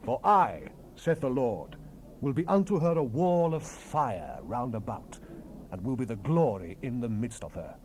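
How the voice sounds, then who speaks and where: A man's voice: medium pitch, lively intonation, measured pace, darkish tone, lightly acted. A man acting a fervent Bible prophet in a dramatized King James reading, solemn and declamatory, with archaic phrasing. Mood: fervent proclamation. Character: fervent male Bible prophet proclaiming visions and God's words. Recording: noisy unprocessed 1970s cassette rip.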